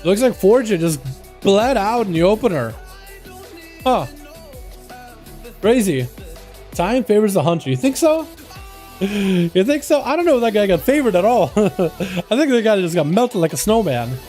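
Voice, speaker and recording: A young man from Russia with a high yet mellow voice, loosely calling video game duels on a livestream and chatting to viewers as "boys." Faint music plays underneath. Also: sing-song, unhurried, pauses often. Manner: chatty, calls out to chat as "boys". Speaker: livestream host and duel caster. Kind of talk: casual game commentary.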